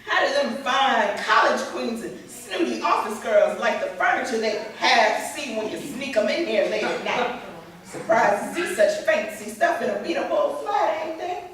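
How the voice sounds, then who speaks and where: A woman's voice: fairly high pitch, animated, normal speed, a bit bright. A woman playing a mocking American aunt who needles her nephew in a folksy, sarcastic way at a staged play reading. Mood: taunting. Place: staged reading in a reverberant room. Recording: echoey room, distant mic, noisy.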